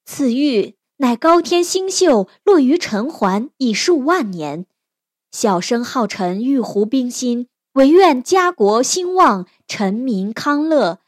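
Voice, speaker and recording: A woman's voice: sits high, animated, slow pace, dry recording, studio quality, pitched above the performer's own voice, exaggerated voice. A woman voicing a humble, earnest young male scholar in a storybook, pleading formally and reverently.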